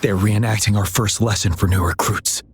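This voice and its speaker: An actor voicing a solemn young military general in a fantasy video game, speaking in a measured, earnest and formal way. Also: male voice, fairly low pitch, steady tone, slightly rough. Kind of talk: scripted video game dialogue.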